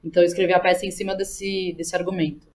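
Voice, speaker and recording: A woman's voice: on the low side, flat delivery, quick pace, a little mellow. A woman with a São Paulo Brazilian accent talking informally and slangily as a podcast guest, thinking aloud and often checking in with the listener. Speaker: interview guest, playwright and theatre educator. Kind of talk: casual podcast interview.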